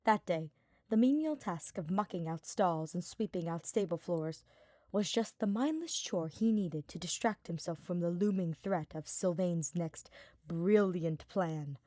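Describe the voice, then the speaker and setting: A woman's voice: lowish voice, expressive, measured pace, mellow, no echo. A woman reading a fantasy story as scripted audiobook narration. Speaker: podfic reader.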